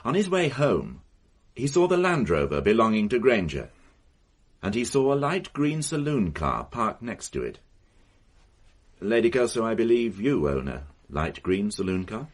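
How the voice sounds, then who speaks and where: An actor playing a courteous, sombre police superintendent in a radio drama, formal and a little hesitant, with a British accent. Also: male voice, on the low side, sing-song, unhurried. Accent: British English.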